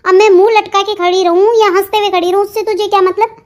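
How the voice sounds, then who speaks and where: A woman's voice: very high-pitched, little pitch movement, fast talker. A woman voicing a sulky, defiant young bride in a melodramatic Hindi cartoon, talking back petulantly about her forced marriage.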